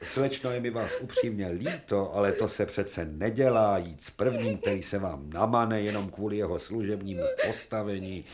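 A man's voice: fairly low pitch, few pauses, slight background noise, lightly acted. A man playing an eminent professor of medicine in a radio play, ornately polite, paternal and easily exasperated. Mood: paternal sympathy. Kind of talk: Czech radio play acting.

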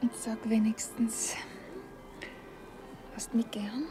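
An actress playing a naive, anxious, clinging young girl with a Viennese accent in a radio play, often hushed and pleading. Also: on the high side, level tone, slow pace, soft background music. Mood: needy, pleading. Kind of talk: radio play acting in dialect.

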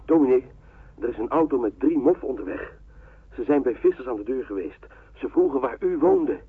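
A man's voice: mid-range voice, expressive, a little fast, slightly rough, no room sound. A man acting a cautious, respectful village lookout in a Dutch wartime radio drama. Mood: alarmed. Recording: muffled, dry, band-limited old radio recording.

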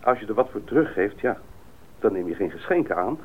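A man's voice: on the low side, lively intonation, a little fast, lightly acted. A man in a radio play playing a thoughtful, knowledgeable expedition member, explaining earnestly with some hesitation. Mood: earnest, reflective. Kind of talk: Dutch radio play acting. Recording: tinny old radio recording, faint noise.

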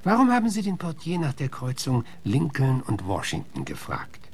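A man's voice: mid-range voice, wildly swooping pitch, moderate pace, darkish tone, slightly rough, heavily acted. A man playing a blunt, suspicious American marshal in a German radio drama, firing curt, coarse questions. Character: gruff, blunt, suspicious male US marshal interrogating suspects.

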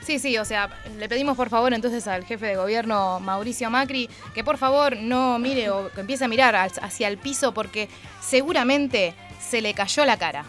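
A woman's voice: fairly high pitch, moderate pace, a bit bright, faint music behind. A woman with an Argentine Spanish accent co-hosting a radio show with casual conversational commentary. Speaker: radio co-host.

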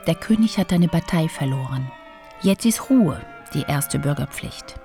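An actress playing a stern announcer who states dates, places and public notices in a clipped, official way in a radio play. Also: female voice, low-pitched, lively intonation, a little slow, mellow, faint music behind. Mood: official, solemn.

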